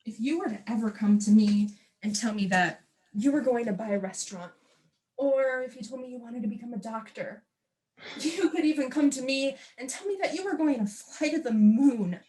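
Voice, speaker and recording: A woman's voice: fairly high pitch, expressive, normal speed, darkish tone, close to an everyday voice, rough recording. A young woman acting in a stage play as a defiant, wounded wife who longs to sing. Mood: pleading, firm. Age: young adult. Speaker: student actor.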